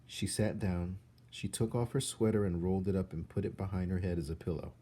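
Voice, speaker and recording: A man narrating a children's novel aloud in an expressive storybook style. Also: deep voice, brisk, slightly rough. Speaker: storybook reader. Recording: faint background noise.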